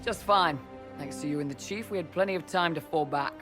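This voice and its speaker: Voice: medium pitch, fairly quick, a bit bright, faint music behind, lightly acted. A performer playing a young, loyal male mercenary lieutenant, easygoing and respectful, in a video game cutscene. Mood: upbeat.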